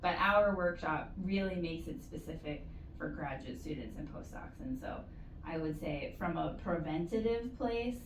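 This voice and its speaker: A woman giving measured, explanatory advice as an interview guest, in a conversational way with stretched emphasis. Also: sits low. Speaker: interview guest, university postdoctoral support staff. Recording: roomy echo, distant mic, faint noise.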